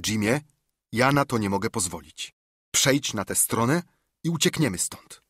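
Kindly doctor, voiced by a man: earnest, husky, dry close read for an audiobook. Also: mid-range voice, animated, moderate pace, fairly bright tone, no echo. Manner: earnest, urgent pleading. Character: kindly earnest middle-aged male doctor. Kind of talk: audiobook character reading.

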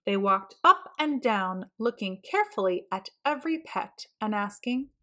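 A woman narrating a children's storybook in an expressive, deliberate way. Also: mid-range voice. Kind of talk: read-aloud storybook narration.

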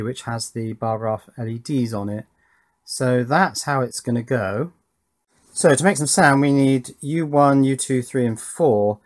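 A man's voice: medium pitch, darkish tone. A man chatting casually as he explains his circuit board build at a home workbench, with wry asides along the way. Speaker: electronics hobbyist presenter.

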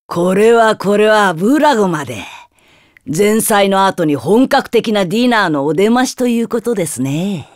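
An actor playing a smug demon schemer in an anime game, with a sneering, theatrical delivery. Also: male voice, sits high, lively intonation, moderate pace, no room sound, studio quality. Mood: smug mockery. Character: smug, mockingly polite male demon schemer.

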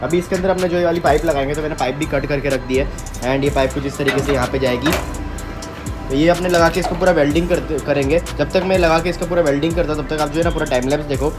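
A man's voice: fairly high pitch, steady tone, fast. A young man giving a casual step-by-step walkthrough of a motorbike build in a garage workshop, mixing in English words as he explains to the camera. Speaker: vlogger building a custom motorbike. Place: metal-walled garage workshop, indoors. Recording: music playing under the voice. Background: background music.

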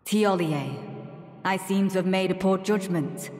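An actress playing a devout female knight in a video game, courteous yet coldly menacing, speaking formal archaic lines in a measured, solemn way. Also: mid-range voice, a little mellow, long reverb tail, faint music behind, lightly acted. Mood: solemn, fervent.

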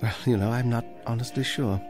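An actor playing a bookish, principled librarian on trial in a radio drama, speaking earnestly and articulately. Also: male voice, medium pitch, steady tone, fairly quick, natural voice. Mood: wry, resigned. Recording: reverberant hall, music underneath.

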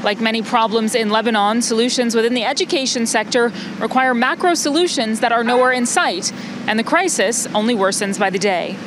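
A young woman news correspondent delivering a scripted report in a measured, even way, with a handheld mic out on a city street. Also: on the high side, background noise.

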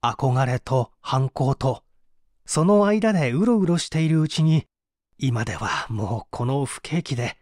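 A man's voice: mid-range voice, a little slow, no room sound, clean studio sound, natural voice. A man reading dramatically as a bitter, despairing painter who gives testimony in a formal, confessional way. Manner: confessional, expressive, formal narrative delivery.

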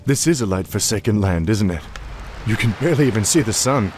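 Game army captain, male actor: deferential, soldierly, uneasy, lower register. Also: animated, a little fast, darkish tone, faint music behind, close to an everyday voice. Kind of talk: video game cutscene acting.

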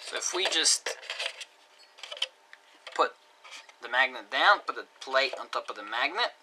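A man teaching a hands-on electronics project at a desk, talking casually step by step and trailing off quietly as he works. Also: medium pitch, lively intonation, measured pace, noisy background. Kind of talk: hands-on DIY tutorial narration. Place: indoor desk workbench.

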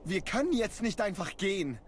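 A worried colony scientist in a game cutscene. His voice is high for a man, earnest and insistent, with faint noise behind. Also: very animated, normal speed. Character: anxious, earnest male colony farm researcher. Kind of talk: dubbed video game cutscene acting.

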